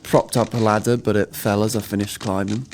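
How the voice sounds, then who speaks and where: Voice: medium pitch, little pitch movement, fairly quick, a little mellow, slight background noise, natural voice. An actor playing a curious fifteen-year-old son in an audio drama, casual and playfully cheeky. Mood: dryly cheeky.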